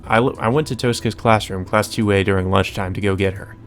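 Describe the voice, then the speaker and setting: A man's voice: on the low side, fairly even intonation, a little fast, soft background music. A man reading a visual novel aloud as a let's play host, with informal commentary.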